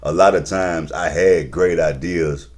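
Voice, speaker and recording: A man's voice: low-pitched, steady tone, a little slow. A man in his thirties, a rapper and label owner, talking casually and laid-back in an interview, with slang and lots of fillers. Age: 30s.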